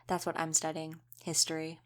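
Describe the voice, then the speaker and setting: Woman acting as easygoing young female wolf shifter, slightly low pitch, informal, conversational, explanatory, audio roleplay. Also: completely flat delivery, moderate pace, natural voice. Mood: chatty, informative. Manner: chatty, informal, explanatory, with trailing questions.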